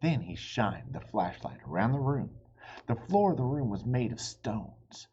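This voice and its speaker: A man's voice: medium pitch, mellow, husky, dull audio. A man reading a children's storybook aloud with dramatic, suspenseful expression. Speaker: storybook reader.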